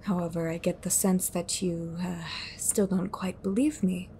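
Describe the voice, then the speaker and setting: Actress as haunted, secretive woman for audio drama: cryptic, grave, unhurried delivery. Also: on the low side, steady tone, dark tone, slight background noise. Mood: weary, guarded. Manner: cryptic, slow, deliberate, sighing. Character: haunted, secretive woman fighting a sinister occult group. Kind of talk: audio roleplay drama acting.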